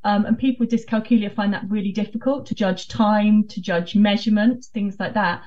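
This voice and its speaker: A woman's voice: mid-range voice, steady tone, fairly quick, a little mellow. A woman explaining things conversationally as an interview guest. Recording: distant, slightly dull remote sound.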